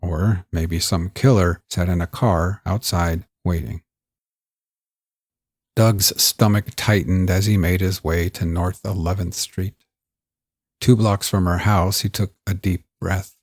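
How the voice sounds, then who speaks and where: A man hosting and narrating scripted crime fiction in a measured, theatrical way, in the manner of old-school mystery shows. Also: low voice, a little mellow, husky, dry recording, clean studio sound. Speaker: podcast host and narrator.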